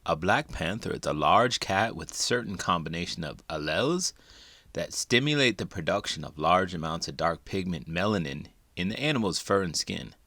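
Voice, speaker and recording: A man reading a children's picture book aloud in an expressive, dramatic way, as a host would for young listeners. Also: sits low, normal speed, dry recording. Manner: expressive, dramatic delivery with big emotions. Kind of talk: animated read-aloud narration for children.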